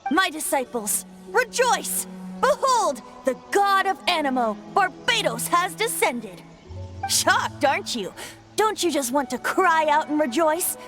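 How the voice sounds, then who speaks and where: Boy bard for fantasy game: high, very animated, theatrical, sly and cajoling. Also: measured pace, exaggerated voice. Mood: grandiose. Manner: playful, grandiose, cajoling. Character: cheeky, theatrical young male bard, a god in disguise. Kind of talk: fantasy video game voice acting. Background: background music.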